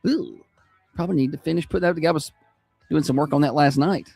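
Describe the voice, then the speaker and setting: A man with a high-pitched Southern accent, hosting a morning radio show in casual, self-deprecating chat. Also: fast, vocal fry, darkish tone, faint music behind. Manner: chatty, self-deprecating, laughs easily. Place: radio studio.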